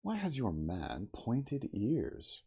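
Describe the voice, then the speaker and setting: A man voicing an earnest, uneasy, inquisitive gentleman of science in a storybook, speaking formally and emphatically. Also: mid-range voice, huge pitch swings, takes it slowly, slightly rough, pitched above the performer's own voice, exaggerated voice. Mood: probing. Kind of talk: storybook character dialogue.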